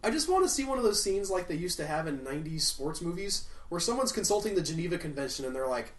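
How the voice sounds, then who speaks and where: Male comedy film reviewer, sarcastic, ranting, very animated pitch, roomy echo. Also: fast. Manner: sarcastic, swings into loud outraged rants. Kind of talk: comedic movie review narration. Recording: roomy echo, mic at a distance.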